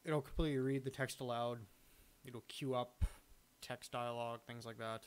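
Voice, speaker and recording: A man giving a casual, unscripted screen-recording walkthrough of his own software, halting as he thinks aloud. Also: medium pitch, fairly even intonation, clear, resonant tone. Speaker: software hobbyist demonstrating own add-on. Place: indoors, at a computer recording the screen.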